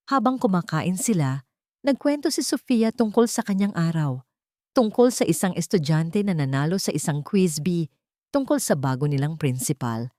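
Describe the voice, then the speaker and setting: A woman's voice: lowish voice, a little mellow, dry recording, clean studio sound. A woman giving a dramatic scripted narration of a love story.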